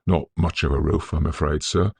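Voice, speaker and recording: A man's voice: a bass voice, fairly even intonation, gravelly, a little mellow, breathy edge, dry recording, full character voice. A man voicing a loyal, anxious Victorian manservant, earnest and deferential, in a ghost story audiobook. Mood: earnest concern. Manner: earnest, respectful, measured period phrasing.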